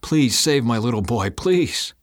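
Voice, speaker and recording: A man's voice: medium pitch, brisk, voice pushed up, lightly acted. A man voicing a distraught father in an audiobook, pleading with urgent emotion after a crash. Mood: anguished praying.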